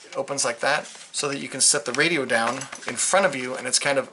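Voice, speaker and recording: A man's voice: mid-range voice, fairly quick, sharp tone, slight background noise. A man giving a casual, unscripted product review, rambling and hesitant, often trailing off mid-thought. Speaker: hobbyist video reviewer.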